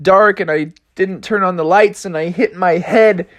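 A storyteller voicing a hungry, apologetic male shark in a plaintive, drawn-out, laid-back dude manner. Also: mid-range voice, no room sound, pitched above the performer's own voice, natural voice. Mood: whiny, pained.

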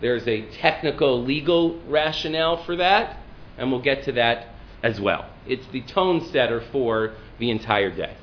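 Deep-voiced male rabbi lecturing, thoughtful and self-deprecating; muffled recording with faint hiss. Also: measured pace. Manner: thoughtful, self-deprecating, with frequent restarts and fillers. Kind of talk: classroom lecture.

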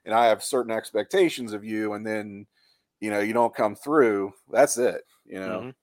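A man with a fairly low voice chats as a podcast co-host. He casually picks apart a TV show, thinking aloud in colloquial language. The recording is dry, with no room sound. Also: normal speed, no echo. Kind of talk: casual discussion and analysis of a TV show.

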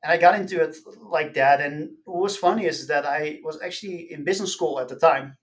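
A man from the Netherlands, an academic guest explaining things casually and haltingly in an interview. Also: on the high side. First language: Dutch. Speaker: podcast guest, nutrition professor.

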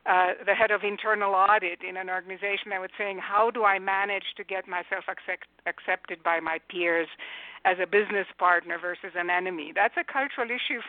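A Romanian-accented woman in her sixties talks as a radio guest, thoughtful and articulate, often pausing on fillers and restarting phrases. Her voice sits at a middle pitch. It comes through a dry, narrow, phone-like line. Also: fairly even intonation, no room sound. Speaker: guest, executive coach. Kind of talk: radio interview answers. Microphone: telephone.

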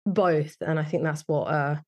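A woman's voice: deep voice, steady tone, moderate pace, a little mellow. A British woman talking casually and chattily as a guest in an interview, in an informal conversational way. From United Kingdom. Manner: chatty, informal, frequent "like" and "you know". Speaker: wedding photographer, interview guest.

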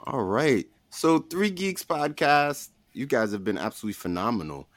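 A man of middling pitch hosts a casual podcast chat. His intonation swings in a lively way, and the sound is dry. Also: normal speed, dry recording. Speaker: podcast host.

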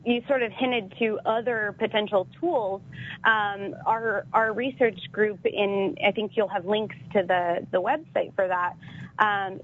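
A woman's voice: mid-range voice, a little hiss or hum. A woman panelist explaining care tools, hesitant and full of fillers. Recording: tinny phone-line audio.

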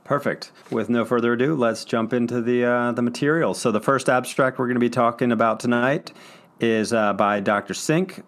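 A man's voice: medium pitch, steady tone, normal speed, fairly bright tone. Man, podcast host, introduces guests, reads summaries. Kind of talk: hosting a medical podcast panel.

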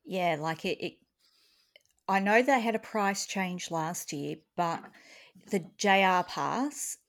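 A woman's voice: fairly low pitch, slow pace. A woman hosting a podcast in a casual, conversational style. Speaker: podcast host. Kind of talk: casual chat.

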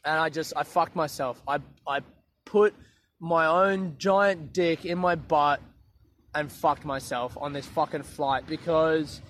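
A comedian rambling through a sweary, self-mocking podcast monologue while walking outdoors. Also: male voice, fairly high pitch, slight background noise.

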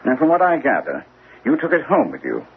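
An actor playing a composed, shrewd English gentleman detective in a radio drama, speaking in a measured, courteous, probing way. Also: mid-range voice, wildly swooping pitch, vocal fry. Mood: shrewd, curious. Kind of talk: classic radio drama acting. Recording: tinny, band-limited old radio, faint hiss.

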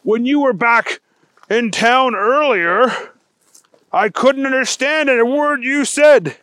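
A man's voice: extremely high pitch, slow, sharp tone, no room sound, pitched above the performer's own voice, exaggerated voice. A man voicing an earnest, confused and hapless special agent in a children's story. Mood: bewildered.

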